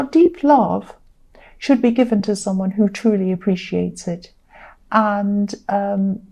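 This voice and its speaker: A woman reads tarot for viewers in a mellow, warm-toned voice at an average pitch. She sounds tired and a little croaky. Her delivery is conversational and earnest, gently advising and circling back on phrases to stress them. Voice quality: slightly croaky voice. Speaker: tarot reader.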